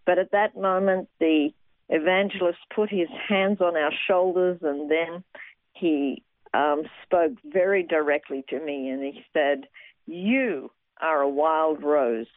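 A woman's voice: deep voice, no echo. Aussie woman guest telling her story, lots of uhs, tinny and dry audio. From Australia. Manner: earnest, many fillers and pauses. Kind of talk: personal testimony interview. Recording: tinny phone-like sound, dry.

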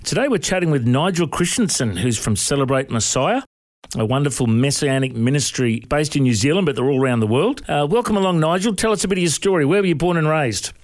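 A man's voice: on the high side, lively intonation, fast, dry recording, studio quality. A man hosting a faith interview, friendly and chatty, calling his guest mate. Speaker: host.

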